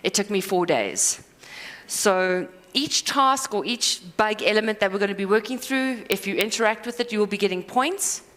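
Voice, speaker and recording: A woman giving a live conference talk, rambling and self-deprecating with quick asides and apologies. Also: mid-range voice, lively intonation, fairly bright tone. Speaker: conference speaker. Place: conference hall. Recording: hall reverb.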